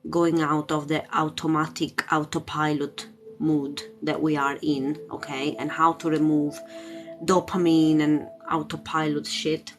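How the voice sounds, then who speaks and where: A woman chatting casually on a spiritual livestream as a tarot reader, in an informal, rambling, giggly manner. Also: low-pitched. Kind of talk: casual live spiritual chat. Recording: music playing behind the voice. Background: music.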